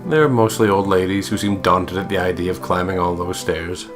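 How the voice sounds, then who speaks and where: A man reading visual novel text aloud as a deliberate, storyteller-like narrator. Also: deep voice, fairly even intonation. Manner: deliberate, storyteller-like, playful putting on of voices. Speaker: gaming video co-player reading the text aloud. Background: game music.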